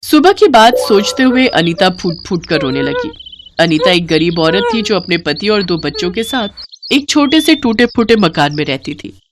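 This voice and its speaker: A woman's voice: mid-range voice, big pitch swings, moderate pace, heavy background noise. A woman storyteller reading scripted narration of a moral tale.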